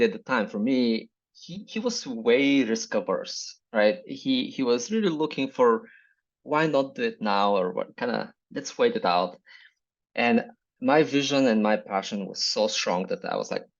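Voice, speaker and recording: A man from Bulgaria with a Bulgarian accent, a startup founder speaking in a measured, reflective way as an interview guest. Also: medium pitch, muffled recording. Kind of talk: business interview.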